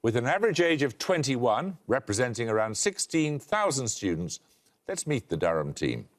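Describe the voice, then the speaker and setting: Male quiz host, dry wit, animated pitch, studio read. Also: normal speed. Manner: dry, wry delivery with lively intonation. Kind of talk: scripted quiz presenting. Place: television studio.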